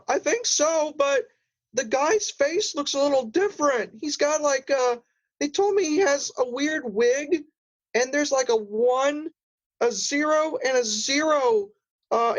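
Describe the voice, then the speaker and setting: High-pitched little talking crab. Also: male voice, lively intonation, slow pace, heavily acted. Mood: innocent, hesitant. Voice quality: high-pitched, small cartoonish voice. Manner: hesitant, pleading, rambling. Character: small naive, scheming talking crab hunting treasure. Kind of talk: improvised comedy role-play.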